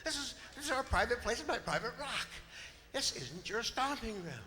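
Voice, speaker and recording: A man voicing a flustered, indignant rabbit who protests in a quick-tempered way. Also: extremely high pitch, big pitch swings, pitched above the performer's own voice, full character voice. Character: flustered, indignant long-eared rabbit defending its home. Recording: long hall reverb, loud music behind.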